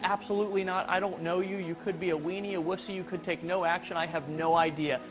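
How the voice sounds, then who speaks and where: A man presenting a business opportunity, making a blunt, hard-selling pitch straight to viewers. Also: steady tone, fast. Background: background music.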